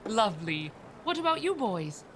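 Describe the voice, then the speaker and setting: An actress playing a kindly, motherly landlady in an audio drama, gentle and encouraging. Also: mid-range voice, sing-song, moderate pace, slight background noise, rough recording. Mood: cheerful. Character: Mrs. Hudson, kindly, motherly, reassuring landlady.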